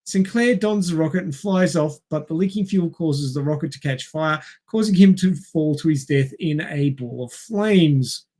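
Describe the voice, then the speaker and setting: A man's voice: fairly high pitch, moderate pace, hardly any pauses, a little mellow. A man co-hosting a film podcast, reading narration and chatting casually with wry, dryly joking asides. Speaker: podcast co-host.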